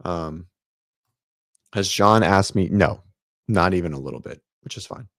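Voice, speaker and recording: Male host, deep and raspy, loose rambling delivery full of fillers, dry home-office mic. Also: a little slow, fairly bright tone, dry recording. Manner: rambling, many ums and restarts. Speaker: host of a fitness livestream show. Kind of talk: casual livestream chat. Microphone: set-up studio mic.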